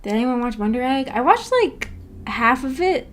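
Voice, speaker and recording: A woman's voice: high-pitched, expressive, normal speed, a little hiss or hum. A woman streamer chatting casually with viewers, rambling and correcting herself as she goes. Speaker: streamer hosting a book club chat. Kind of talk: casual livestream chat, reading and answering comments. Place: indoors at home, upstairs room.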